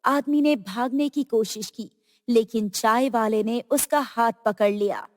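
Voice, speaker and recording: Female horror narrator: scripted storytelling, unhurried delivery, reverberant finish. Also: a little high, clean studio sound.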